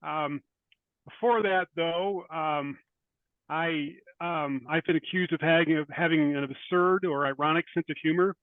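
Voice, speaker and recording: An older man talks as a guest author through a narrow, phone-like connection. His voice is fairly high for a man and dry, with no room sound. He answers in a hesitant, reflective, conversational way. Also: fairly even intonation, a little slow, no room sound. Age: 50s or older. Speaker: author being interviewed. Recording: tinny phone-like line, dry.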